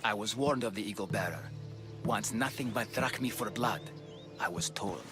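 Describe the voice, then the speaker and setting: An actor playing a grave, devout ancient Greek priest and healer with a solemn, measured manner in a video game. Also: male voice, on the low side, close to an everyday voice. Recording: game music behind the voice.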